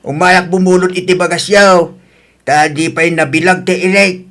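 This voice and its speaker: A man's voice: high voice, fairly even intonation, moderate pace, a bit bright. A man with an Ilocano accent playing a crusty elderly grandfather in an audio drama, grumbling and questioning. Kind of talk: Ilocano radio-style drama acting.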